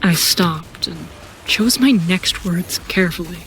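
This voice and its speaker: A woman playing a thoughtful first-person narrator in a science fiction audio drama, telling it in a measured way. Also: lowish voice, a bit bright, a little hiss or hum, rough recording. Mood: reflective.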